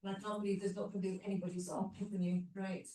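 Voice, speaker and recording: A woman's voice: medium pitch, flat delivery, very fast, dark tone. A woman hosting a live panel session, chatty and drawing in the audience. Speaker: host. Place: community cinema hall. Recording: roomy hall, mic at a distance.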